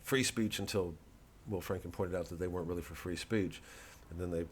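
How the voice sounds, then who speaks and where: A man with a fairly low voice talks casually, like a comedian plugging a gig to camera. The delivery wanders and digresses, with a dry, mock-earnest edge. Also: fairly even intonation, brisk, slight background noise. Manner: rambling, digressive. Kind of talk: casual vlog promoting a live show.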